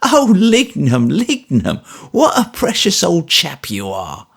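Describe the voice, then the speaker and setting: A man putting on a brisk, motherly soldier's wife. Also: sits high, very animated, gravelly, husky, voice pushed up, heavily acted. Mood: fond. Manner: quick, motherly, fond exclamations. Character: older woman, warm, brisk soldier's wife.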